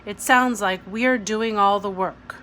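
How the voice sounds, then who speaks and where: A woman's voice: mid-range voice, a little slow, fairly bright tone, lightly acted. Woman reading as a blunt, cranky young girl, noisy recording. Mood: scowling. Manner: blunt, questioning.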